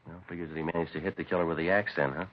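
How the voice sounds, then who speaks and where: Deadpan low-voiced radio police sergeant. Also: male voice, racing. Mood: businesslike. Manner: clipped, deadpan, matter-of-fact. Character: terse, businesslike male police sergeant narrating his case. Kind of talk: 1950s radio crime drama acting and narration. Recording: muffled vintage radio recording with music.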